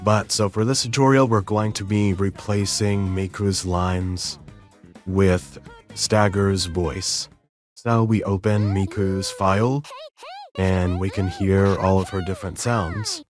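A man narrating a step-by-step software tutorial in a casual, explanatory way, as the host of the lesson. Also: low-pitched, fairly even intonation, takes it slowly, soft background music.

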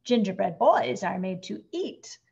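A woman voicing a group of gruff working men threshing wheat, warning in a matter-of-fact way. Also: mid-range voice, very animated, measured pace, darkish tone, muffled recording, exaggerated voice.

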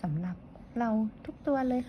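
A woman voicing a group of young, eager, impatient little mice in a storybook reading, with a high, whiny childlike voice. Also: moderate pace, mellow, lightly acted. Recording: dull lo-fi with faint noise.

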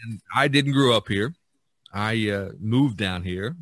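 A man from Indiana with an American accent, hosting a casual podcast chat in a halting way, often pausing mid-thought. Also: on the low side, a bit bright, no echo. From Indiana, USA. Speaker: podcast host.

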